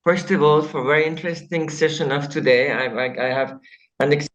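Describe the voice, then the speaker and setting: A non-native English-speaking man in the audience asking a question, halting and searching for words. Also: fairly high pitch, level tone, fairly bright tone. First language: not English. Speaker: audience member. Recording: dull lo-fi call audio.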